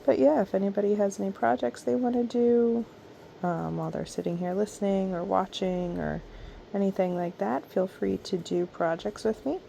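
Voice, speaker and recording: A hoarse, raspy woman chatting casually and softly at home at night while she crochets, with ums and trailing pauses, recorded on a camera mic. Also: mid-range voice, slight background noise. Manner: soft, unhurried, trailing off with ums. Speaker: crochet vlogger.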